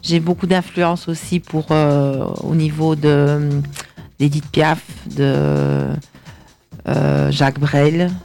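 Young woman, singer guest, chatty and hesitant, a little gravelly, soft music under. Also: deep voice, little pitch movement, slow. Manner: chatty, hesitant with many fillers. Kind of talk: casual interview chat.

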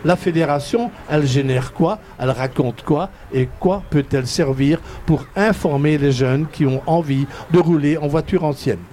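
A man's voice: fairly high pitch, moderate pace, hardly any pauses, dark tone, a little hiss or hum. A man with a Liège accent, hosting a live outdoor radio broadcast and interviewing a guest, chatty and prone to restarts. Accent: Liège Belgian French. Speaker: radio show host. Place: outdoors at an event, windy. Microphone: headset.

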